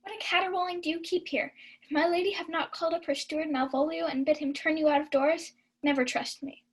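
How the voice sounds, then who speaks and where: A young woman playing a shrewd, mischievous household servant in a Shakespeare radio play, brisk and conspiratorial. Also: high-pitched, fairly even intonation, close to an everyday voice. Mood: exasperated. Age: young adult.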